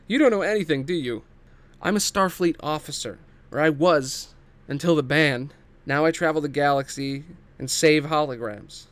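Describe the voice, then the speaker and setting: A man acts out a hologram doctor on the run in a sci-fi audio drama. He is frantic and emphatic, pitched above the reader's usual voice, pleading with urgency. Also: moderate pace, close to an everyday voice.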